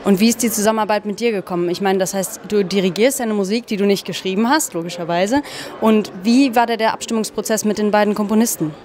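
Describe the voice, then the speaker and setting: A woman interviewing festival guests, asking questions in a brisk, friendly way. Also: medium pitch, a little mellow. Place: indoor room at a festival. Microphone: handheld mic.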